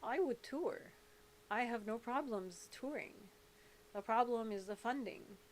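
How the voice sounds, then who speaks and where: A woman's voice: fairly high pitch, unhurried, slight background noise, poor audio. A woman born in England and raised in Saudi Arabia, hosting a casual livestream chat in a rambling, blunt, candid way. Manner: rambling, candid, blunt, jumping between topics. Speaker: livestream host and activist.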